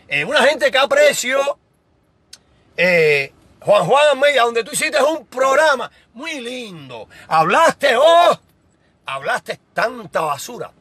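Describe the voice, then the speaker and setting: A middle-aged man with a Cuban accent, hosting a heated, insulting video rant from a small room, repeating himself and bursting into shouts. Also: sits high, slightly rough, dry recording. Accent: Cuban Spanish. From Cuba. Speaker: show host.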